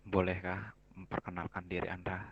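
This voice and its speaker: A man speaking formally in character in a roleplay game, slow and halting, sometimes sinking to a near whisper. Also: low voice, completely flat delivery, dull audio. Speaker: roleplay company founder. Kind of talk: in-character roleplay speech over voice chat.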